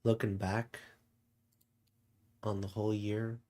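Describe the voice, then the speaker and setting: A man's voice: fairly low pitch, little pitch movement, takes it slowly, dark tone. A man hosting a show in casual, reflective chat, hesitant and often trailing off. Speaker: show host.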